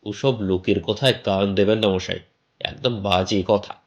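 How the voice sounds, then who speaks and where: A man's voice: fairly low pitch, fairly even intonation, measured pace, muffled recording. A man voicing a garrulous middle-aged house seller in an audio story, callous and grumbling, with wheedling, colloquial chatter. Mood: callous, pushy. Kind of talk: dramatised audio story dialogue.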